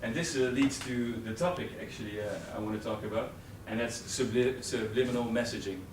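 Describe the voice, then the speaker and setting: A man's voice: mid-range voice, fairly quick. A young man with a Dutch accent giving a live presentation to a training group, hesitant, with many fillers and restarts. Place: training room with an audience. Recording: roomy, distant camera audio with noise.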